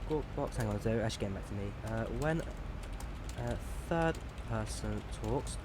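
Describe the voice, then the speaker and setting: A man's voice: lowish voice, lively intonation, normal speed, background noise. A man giving casual live stream commentary while flying a simulator, chatty and self-deprecating.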